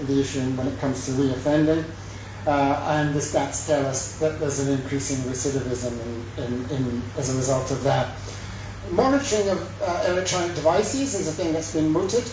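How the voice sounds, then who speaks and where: A man's voice: on the high side, background noise. Scottish man speaking in an echoey hall. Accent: west of Scotland. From west of Scotland. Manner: halting, many fillers, self-deprecating jokes. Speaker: mayoral candidate. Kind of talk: campaign speech at a forum. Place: large echoey sports hall. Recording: very echoey hall recording from far off.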